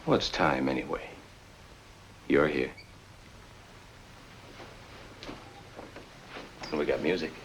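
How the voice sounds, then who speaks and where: Really deep gravelly american noir loner, curt and cool, noisy old movie sound. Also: male voice, moderate pace. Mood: coaxing. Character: aloof, restless young tough guy, guarded loner. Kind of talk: 1950s film noir acting.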